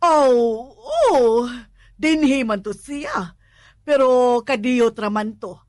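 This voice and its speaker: A woman playing an unhurried, matter-of-fact mother in a Filipino radio drama, with a Filipino accent. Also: on the high side, expressive, a little mellow, no echo, studio quality. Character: unhurried mother of a working man.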